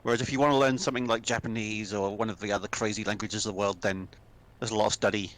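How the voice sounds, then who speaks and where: A man's voice: on the low side, fairly even intonation, fast, a bit bright, rough recording. A man chatting casually while gaming, with lots of restarts and fillers. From England. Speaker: co-player on a game stream.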